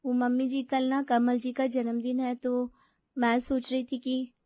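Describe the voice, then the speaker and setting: A woman's voice: on the high side, completely flat delivery, measured pace, warm tone, dry recording, clean studio sound, close to an everyday voice. A woman voicing an outspoken younger daughter-in-law in a family story, complaining and pleading her case. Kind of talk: animated Hindi family story dialogue.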